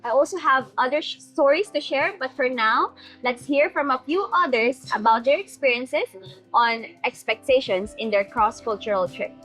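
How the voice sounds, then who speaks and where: A woman's voice: high voice, normal speed, fairly bright tone, soft background music, low-quality recording. A woman from the Philippines, co-hosting with playful banter. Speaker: co-host.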